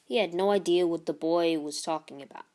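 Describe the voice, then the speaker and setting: A woman narrating a children's book aloud in an expressive read-aloud style. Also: lowish voice, brisk.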